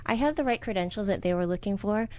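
A middle-aged woman from the United States, a business owner answering interview questions in a soft, hesitant way with many fillers. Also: mid-range voice, expressive, fast talker.

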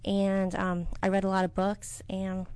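Middle aged american woman answering interview questions, soft and halting, lots of ums. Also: mid-range voice, completely flat delivery, smooth. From United States. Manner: soft-spoken, hesitant with many fillers. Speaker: business owner, interviewee.

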